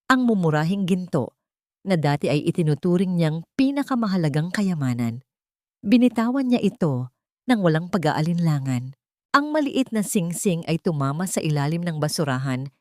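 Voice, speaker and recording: A woman with a Filipino accent narrating a scripted dramatic story in a measured, theatrical way. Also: lowish voice, darkish tone, no room sound, studio quality.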